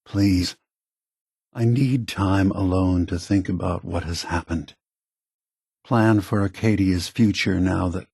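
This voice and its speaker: A weary machine leader from a video game, who longs for peace. He speaks very slowly and gravely in a low, husky voice. Every thought is measured and deliberate. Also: a little mellow, no echo, clean studio sound, full character voice. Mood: weary, sorrowful. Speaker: game character. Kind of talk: scripted video game dialogue.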